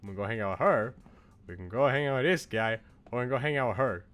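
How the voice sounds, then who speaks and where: A man's voice: mid-range voice, expressive, fast talker, a bit bright, smooth. A man streaming a game casually, chatting and reading on-screen text aloud. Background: faint game music.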